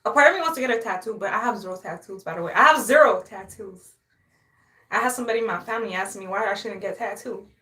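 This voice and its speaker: A woman's voice: mid-range voice, quick pace, bright, forceful tone. A young woman from Haiti chatting casually on a live stream, sassy, boastful and playful, often laughing. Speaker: livestreamer.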